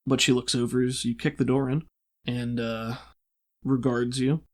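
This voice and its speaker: A man with a medium-pitched voice runs a tabletop roleplaying game. He sets scenes descriptively and off the cuff, pausing to hunt for the right word. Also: little pitch movement. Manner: descriptive, improvised, hesitant searching for words. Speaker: game master.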